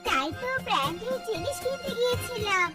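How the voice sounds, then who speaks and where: A performer voicing a spoiled, spiteful young woman in a cartoon, shrill, bullying and whiny. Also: animated, a little fast. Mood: smug. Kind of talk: Bengali fairytale cartoon acting. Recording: loud children's music behind. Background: loud children's music.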